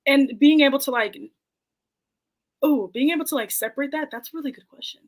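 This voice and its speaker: A woman's voice: sits high, brisk. A woman in her twenties, a podcast guest, talking candidly and reflectively in casual conversation. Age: 20s. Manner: candid and reflective, quotes her own past thoughts.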